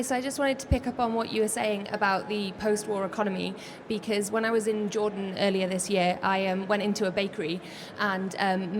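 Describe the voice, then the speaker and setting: A woman at a panel event telling a spontaneous anecdote with a hesitant delivery. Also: medium pitch. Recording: noisy live event room.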